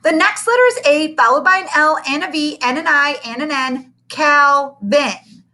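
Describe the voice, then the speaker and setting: A woman's voice: high-pitched, moderate pace, sharp tone, voice pushed up. A woman voicing a shy, flustered fourth-grade boy who stammers. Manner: hesitant, stammering.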